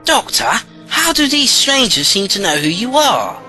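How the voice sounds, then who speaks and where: A woman's voice: a little high, big pitch swings, normal speed, pushed and bright, soft background music. A woman playing a naive, curious young companion from an earlier era, full of questions in an audio drama. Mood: curious, puzzled.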